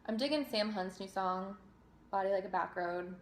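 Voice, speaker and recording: A woman's voice: medium pitch, normal speed, slight background noise. A young woman from New Jersey, a singer, answering viewer questions in a casual, chatty way with fillers and restarts. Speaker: singer and vlogger. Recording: roomy echo.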